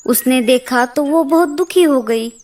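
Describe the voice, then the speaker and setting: A woman's voice: high-pitched, measured pace, a little mellow, faint music behind. A woman voicing a worried but brave mother sparrow in an earnest, emotive way for a children's cartoon story. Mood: earnest, grave.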